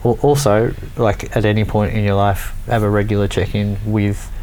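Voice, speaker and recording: A man's voice: fairly low pitch, fairly even intonation, normal speed, a little mellow, a little hiss or hum, low-quality recording. A man in casual conversation who rambles through opinions, stumbling and restarting often. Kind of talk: casual chat.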